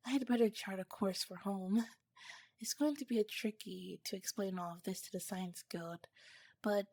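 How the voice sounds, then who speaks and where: A woman voicing a tender, curious alien scientist in an ASMR romance roleplay, speaking softly and formally in a breathy, whispery voice with some halting phrases. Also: mid-range voice, no echo, clean studio sound. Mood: happy, reflective. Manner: soft, halting, formal wording. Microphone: close, dry mic.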